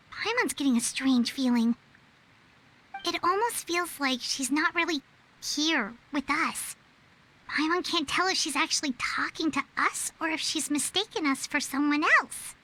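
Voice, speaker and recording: A woman voicing a small, childlike fairy companion in a video game, squeaky, anxious and chatty with an exclamatory manner. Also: bright, forceful tone. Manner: exclamatory, refers to self in third person.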